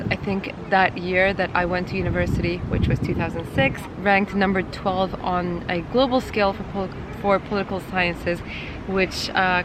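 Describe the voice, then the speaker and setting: A woman in her thirties, a native Turkish speaker, hosting her own channel and telling her story in a chatty, conversational way with many "uh"s and "um"s, recorded outdoors in a city playground. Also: mid-range voice. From Turkey. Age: 30s. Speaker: channel host. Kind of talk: casual vlog storytelling to camera. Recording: outdoor recording with traffic noise. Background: traffic and city ambience.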